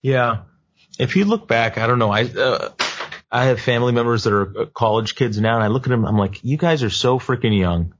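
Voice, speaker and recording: A man's voice: medium pitch, a little fast. A man in his thirties co-hosting a casual chat, making dry, wry remarks. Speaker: podcast co-host. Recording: muffled lo-fi audio.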